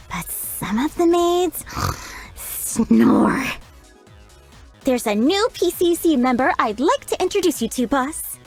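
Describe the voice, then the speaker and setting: Giggly flirty maid. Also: female voice, extremely high pitch, full character voice. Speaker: chambermaid character. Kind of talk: video game voice lines.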